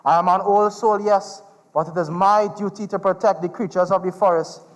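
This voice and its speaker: An actor playing a weary, protective old forest guardian in a solemn, commanding stage manner. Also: male voice, high voice, fairly even intonation, fairly quick, close to an everyday voice. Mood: weary, regretful. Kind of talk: live stage play. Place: theatre stage in a large venue. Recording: echoing hall, clear background noise.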